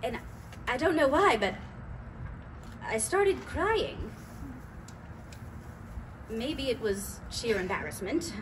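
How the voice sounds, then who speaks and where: An anxious, earnest woman, played by a woman on stage, tells an intimate story in a high voice. It is a live staged reading with clear background noise. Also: very animated, takes it slowly, pauses often, rough recording. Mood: anxious, overwhelmed. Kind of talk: dramatic monologue in a staged reading.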